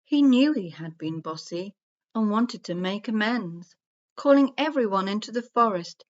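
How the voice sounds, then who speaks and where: A woman storyteller reading a rhyming children's story aloud in a measured, singsong rhythm. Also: mid-range voice, fairly bright tone, dull audio.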